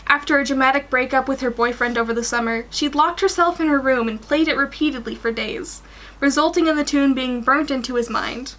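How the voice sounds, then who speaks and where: A woman's voice: high-pitched, brisk, fairly bright tone. A woman in her twenties reading a fan story aloud and chatting informally in a small room at home. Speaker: guest reader on a fan fiction livestream.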